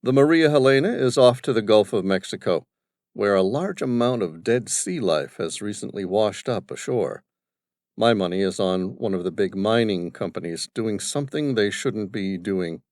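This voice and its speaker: A grown son who answers his father with dry wit and bluntness, read by a male narrator. The voice stays near a plain male talking tone with lively inflection. It is recorded dry and close. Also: lowish voice, moderate pace, no room sound, natural voice. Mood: wry. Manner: wry, blunt.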